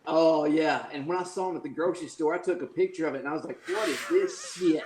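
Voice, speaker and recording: A man's voice: a little high, fast talker, reverberant, background noise. A man in his forties, a podcast guest, telling crude stories and laughing in casual chat. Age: 40s.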